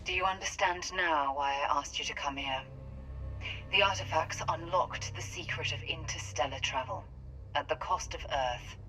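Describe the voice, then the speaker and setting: An actress playing a solemn, knowing woman in a video game, explaining in a measured way, with a radio-like filter. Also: deep voice, noisy background. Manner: measured, expository. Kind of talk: dramatic video game acting.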